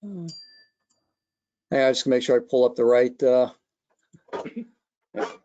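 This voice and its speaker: A man gives an unscripted technical walkthrough in the role of a product engineer. His voice is mellow and dark, at a middling pitch. The recording is a slightly dull online webcast. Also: takes it slowly. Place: online video call.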